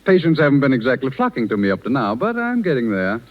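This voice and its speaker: A steadfast young country-town doctor in 1930s radio drama. He sounds earnest and reassuring, with wide swings of pitch. The recording is dull and lo-fi. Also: wildly swooping pitch, fast. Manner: reassuring, gently amused, earnest.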